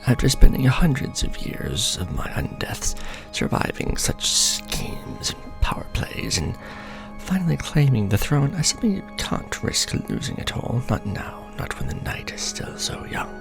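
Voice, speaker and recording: Man acting out a gravelly, theatrical vampire lord. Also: medium pitch, wildly swooping pitch, unhurried, over music, exaggerated voice. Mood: scheming, intense. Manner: pompous, theatrical, courtly. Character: ancient, pompous, scheming vampire lord, newly enthroned. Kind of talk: ASMR roleplay, dramatic monologue to the listener.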